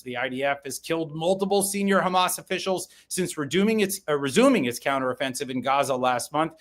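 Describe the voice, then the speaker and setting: A man with a somewhat high voice runs through the day's headlines at a brisk clip. He drops in short, pointed remarks of his own between the items. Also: fairly bright tone. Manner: brisk, opinionated commentary. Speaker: podcast host reading headlines. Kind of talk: news brief with personal asides.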